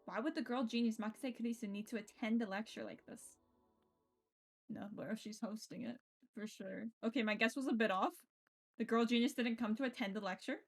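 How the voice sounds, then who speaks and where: Expressive high-pitched woman streaming a game. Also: brisk, vocal fry. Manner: expressive, self-interrupting, swears freely. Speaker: streamer playing a visual novel. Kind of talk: reading game narration aloud with casual reactions. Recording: game music behind the voice. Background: game music.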